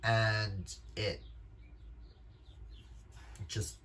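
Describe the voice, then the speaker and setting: Slow-paced, gushing male book vlogger. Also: lowish voice, steady tone, fairly bright tone. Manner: gushing, emphatic, exclamatory. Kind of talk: casual chat to camera.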